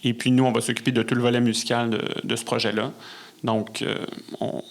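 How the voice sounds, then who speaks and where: A man with a Québécois French accent, speaking as a guest in a casual interview, often halting to search for words. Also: mid-range voice, level tone. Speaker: podcast guest, musician and conductor.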